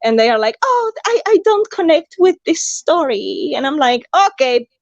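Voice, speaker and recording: A woman's voice: high voice, very animated, normal speed, no room sound, dull audio. A woman hosting a casual writers' chat on a video call, chatty and emphatic, laughing often. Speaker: host, writer.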